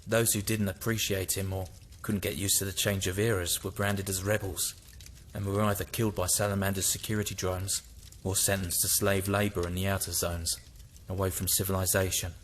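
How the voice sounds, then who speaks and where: An actor playing a wary rebel worker in an audio drama, earnest and explanatory. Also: male voice, low voice, little pitch movement, a little fast, slight background noise, lightly acted. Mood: grave, informative.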